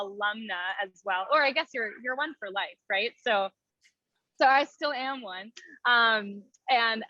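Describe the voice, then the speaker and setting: Young woman, bright tense voice, dry muffled call audio, chatty, lots of restarts. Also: medium pitch, no echo. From Thailand and Minnesota. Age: 20s. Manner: chatty, many fillers and restarts. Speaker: guest speaker. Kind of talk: casual presentation. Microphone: video call.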